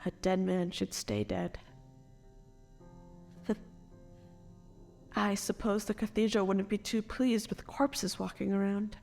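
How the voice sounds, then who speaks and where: A wronged noble acted by a woman speaks with earnest, formal drama, as a grieving parent pushed toward becoming an assassin. The voice is medium in pitch with a noticeable fry, over light music, in a dark fantasy audio drama. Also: lively intonation, slow pace, pauses often. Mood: grieving, resolute.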